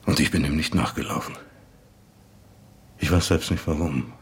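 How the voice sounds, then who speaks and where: An actor playing a baffled, hesitant man being interviewed in a radio drama, husky and halting as he explains himself. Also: a bass voice, a little hiss or hum. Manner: halting, uncertain. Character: hesitant, baffled man confessing an avoided encounter.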